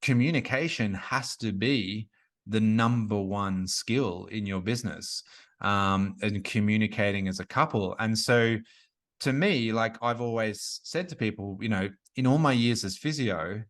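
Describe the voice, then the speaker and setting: A talkative man in his forties with a lowish voice. He speaks in a loose, conversational way, full of "like," restarts and asides, as he explains his business as a coach. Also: unhurried, a bit bright. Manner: chatty, frequent "like" and restarts. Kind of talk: casual interview conversation.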